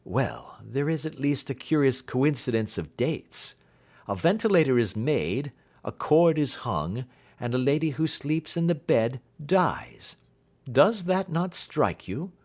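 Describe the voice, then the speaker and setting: A man voicing a keen, assured detective in an audiobook, explaining things precisely and deliberately. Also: medium pitch, animated, darkish tone, pitched above the performer's own voice. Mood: keen, reasoning. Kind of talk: audiobook character dialogue.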